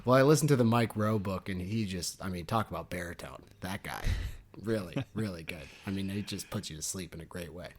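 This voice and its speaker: A man's voice: sits low, a little fast, husky. A young man co-hosting a book podcast, chatting casually and jokily, laughing often. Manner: jokey and animated, laughs often. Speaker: podcast co-host.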